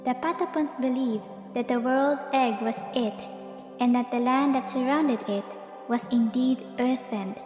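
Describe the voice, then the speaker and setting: A woman's voice: high voice, a little slow, a little mellow. A woman reading scripted narration of a fantasy legend in storybook style. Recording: echoey long reverb, music behind. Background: music.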